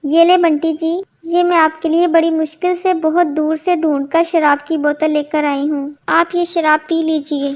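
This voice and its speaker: A woman's voice: sits high, level tone, moderate pace, hardly any pauses, natural voice. A woman voicing a long-suffering, devoted young wife bird in a cartoon story, earnest in manner. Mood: caring. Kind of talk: Hindi cartoon story dubbing.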